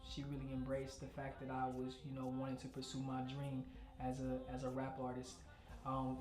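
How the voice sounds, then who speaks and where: A man's voice: medium pitch, reverberant. A young man from Nigeria, a rap artist, chatting casually and laid-back in an interview, often saying "you know what I'm saying.".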